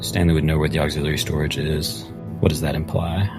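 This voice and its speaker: A man playing a tabletop roleplay game, narrating and speaking in character in a measured, formal way. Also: extremely low pitch, little pitch movement. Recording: loud music under the voice.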